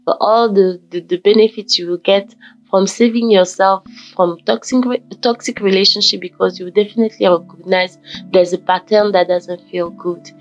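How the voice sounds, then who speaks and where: A woman from Ghana talking casually in a motivational vlog, with a croaky just-woken voice and a rambling manner that repeats and corrects itself. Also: medium pitch, fairly even intonation, normal speed. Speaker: vlogger. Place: forest fitness trail outdoors. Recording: dull lo-fi audio with faint background music.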